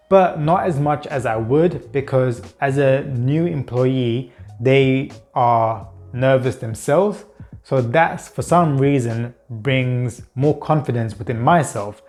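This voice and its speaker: A man's voice: medium pitch, darkish tone. Earnest young man who stutters. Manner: stutters with repetitions and blocks; reflective and earnest. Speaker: channel host. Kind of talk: personal vlog monologue.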